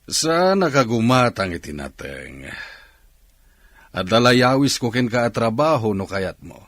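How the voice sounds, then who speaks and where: A man's voice: medium pitch, big pitch swings, a little slow, a bit bright, no room sound, heavily acted. An actor with a Filipino accent playing a practical, encouraging factory man in a radio drama. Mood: persuasive, practical. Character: male factory man, Mister, offering work, practical and encouraging. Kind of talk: Ilocano radio drama acting.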